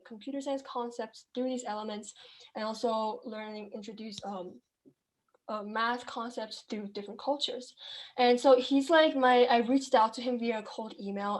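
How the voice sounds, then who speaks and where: A woman's voice: medium pitch, rough recording. A young woman from China, raised in Kenya, answering interview questions in a casual, chatty way with many fillers and restarts. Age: young adult. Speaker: guest entrepreneur.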